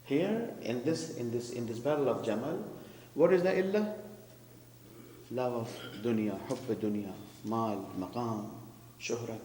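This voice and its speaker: A man's voice: mid-range voice, a little slow, mellow. A man giving a sermon and commentary who explains terms and questions the congregation. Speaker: preacher. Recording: echoey hall with faint hum.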